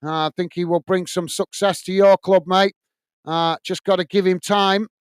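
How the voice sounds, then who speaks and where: A man from Bolton with a Lancashire accent hosts a live football watch-along, calling the play between chats with viewers. His voice sits high and rings smooth and clear. The sound is dry and close, from a home streaming setup. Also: fairly even intonation, moderate pace, no echo. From Bolton, north of England. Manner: chatty, calls viewers mate, frequent uh fillers. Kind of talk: live match commentary and chat reading. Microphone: earphones and desk microphone.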